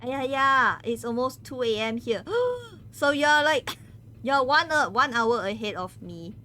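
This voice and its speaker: A woman's voice: a little high, a little slow, sharp tone. A woman from Malaysia, chatting casually with viewers on a game stream. She giggles often and stumbles over words.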